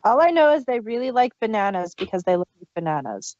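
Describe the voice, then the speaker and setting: A woman's voice: a little high, big pitch swings, fast, darkish tone. A woman chatting casually as one of the hosts of a podcast. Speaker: podcast host. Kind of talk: casual chat. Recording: dull lo-fi audio, dry.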